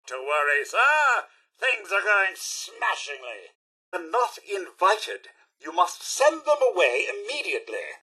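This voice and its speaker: A man's voice: sits high, bright, forceful tone, breathy edge, full character voice. A man voicing a fussy, polite British robot butler in a video game, with prim, formal servant's diction and an eager, easily dismayed temper. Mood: proud, obliging, then indignant, bossy. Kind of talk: theatrical video game character acting.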